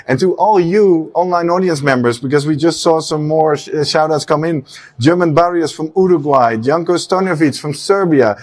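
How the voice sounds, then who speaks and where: A man in his thirties hosting a live congress broadcast, quick and upbeat with many fillers, on a lapel mic in a studio set. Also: fairly high pitch, a little mellow. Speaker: congress host. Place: studio set with a long desk.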